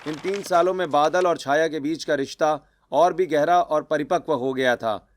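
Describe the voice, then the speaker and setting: A man's voice: fairly high pitch, moderate pace, a little hiss or hum. A man narrating a scripted cartoon family story.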